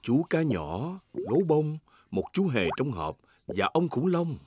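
A man's voice: medium pitch, big pitch swings, measured pace, darkish tone, a little hiss or hum. A man reading scripted narration for a children's cartoon. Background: music.

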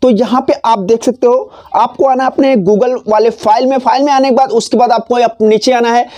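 Mellow young man giving phone tutorial. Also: extremely high pitch, fairly even intonation, normal speed, highs cut off. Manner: repetitive, instructional phrasing. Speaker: tech tutorial presenter. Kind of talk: step-by-step phone tutorial, spoken off the cuff. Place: small decorated indoor room. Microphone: clip-on lapel mic.

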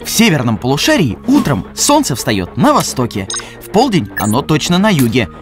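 A man's voice: fairly high pitch, huge pitch swings, normal speed. A man narrating scripted educational explanations for children. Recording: clear music bed behind.

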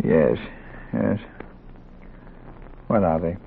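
An actor playing a gruff, grieving, weary middle-aged doctor in a 1940s radio drama, with a gravelly, husky voice. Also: male voice, sits low, animated, very slow, a little hiss or hum, heavily acted. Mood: weary, amused. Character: gruff, grieving older Southern doctor and surgery teacher. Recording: tinny old 1940s radio recording.

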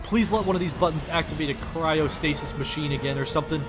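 A man's voice: on the high side, quick pace. A man doing comedic parody dubbing of a game, sarcastic, exasperated and sweary. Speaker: parody series creator voicing the player character. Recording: voice over game music.

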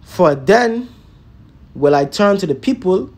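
A man's voice: sits high, expressive, slow, darkish tone. A man teaching scripture in an emphatic, informal way, peppering his talk with tag words and rhetorical questions. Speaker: religious teacher.

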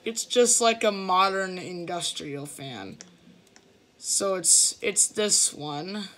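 A man's voice: sits high, pushed and bright. A young man giving a casual, hesitant walkthrough of his game build while filming the screen with a phone. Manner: slow, hesitant, with fillers. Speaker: gamer showing a game build. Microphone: phone filming a computer screen.

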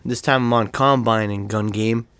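A man's voice: on the low side, moderate pace, smooth, dry recording. A young man with a Boston accent giving casual gameplay commentary, cursing, laughing and yelping when surprised. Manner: excitable, swears and laughs often, yelps when startled. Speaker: gaming video creator.

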